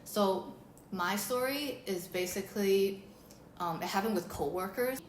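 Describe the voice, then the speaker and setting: A woman with a deep voice, chatting casually and telling a story with lots of fillers as a co-host. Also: measured pace, echoey room, slight background noise. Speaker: co-host of a beauty channel talk. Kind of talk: casual chat.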